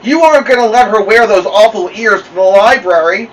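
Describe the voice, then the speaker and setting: A man's voice: sits high, fairly quick, far from the mic, pitched above the performer's own voice, natural voice, poor audio. A man voicing an exasperated, easily embarrassed young big sister in a story reading. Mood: whiny, dismayed.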